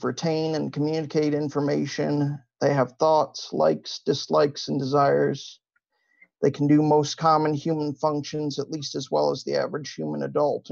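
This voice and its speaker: A man with a Midwestern accent delivers a debate opening slowly, stopping often for fillers and restarts. His voice is heavily gravelly and sits a little high, and a dull, lo-fi mic flattens the sound. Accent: Midwestern American. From Ohio, USA. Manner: hesitant, many fillers and restarts.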